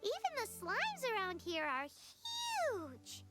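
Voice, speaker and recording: A woman's voice: extremely high pitch, wildly swooping pitch, takes it slowly, full character voice. A woman voicing a tiny childlike fairy companion in a video game, chirpy and exaggerated. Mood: chatty, explaining. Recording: loud game music behind the voice.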